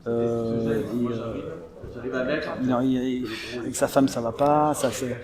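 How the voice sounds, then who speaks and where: A man's voice: medium pitch, fairly even intonation, slow. A young man, an actor, chatting casually in an interview, rambling with many restarts and laughs. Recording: crowd chatter behind.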